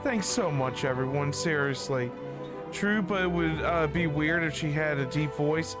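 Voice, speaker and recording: A man's voice: on the high side, unhurried. A man streaming a game and chatting casually in a rambling, informal way. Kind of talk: casual livestream chat. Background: faint music.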